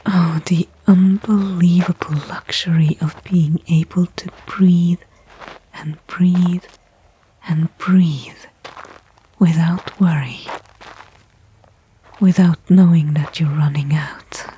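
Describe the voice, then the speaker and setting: A woman playing a weary stranded astronaut in a whispered ASMR sci-fi roleplay, breathy and hushed, thinking aloud with pauses between thoughts. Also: on the low side, fairly even intonation, slight background noise, rough recording. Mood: cautiously hopeful. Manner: slow, soliloquizing, pausing between thoughts. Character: weary stranded female astronaut, anxious, faintly hopeful.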